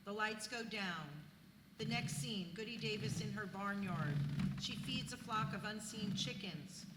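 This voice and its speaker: A woman's voice: mid-range voice, measured pace. A woman reading stage directions as scripted narration at a live play reading. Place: live reading in a church venue. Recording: echoey hall, clear background noise.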